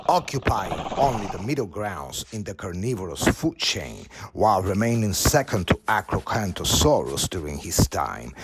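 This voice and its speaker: A man narrates a nature documentary in a low voice. His pitch moves in a lively way through the scripted lines, and music plays clearly behind him.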